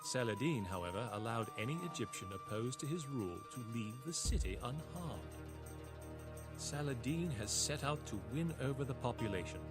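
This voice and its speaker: An actor playing a weary captive European knight, narrating a video game campaign in a measured, solemn way. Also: male voice, medium pitch, expressive, over loud music, lightly acted. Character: weary captive European knight chronicling his captors' war. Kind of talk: scripted dramatic narration.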